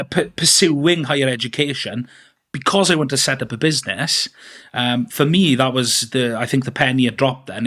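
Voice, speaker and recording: A man's voice: medium pitch, a bit bright. A man in his thirties, a podcast guest telling his life story in a chatty, rambling way with lots of fillers and restarts. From Wales. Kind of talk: casual interview storytelling.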